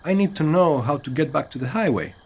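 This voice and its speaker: An actor playing an earnest, polite but exasperated stranger with a Spanish accent in a comedy audio drama. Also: male voice, fairly high pitch, lively intonation, a little fast, gravelly, a little hiss or hum. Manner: polite, slips into Spanish exclamations.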